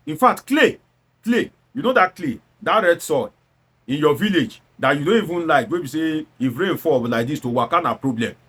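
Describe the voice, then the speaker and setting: A man's voice: sits high, a bit bright, breathy edge. A man with a Nigerian accent delivers opinionated commentary as a show host, emphatic and exhortatory, mixing in Pidgin and pressing points with rhetorical questions and repetition.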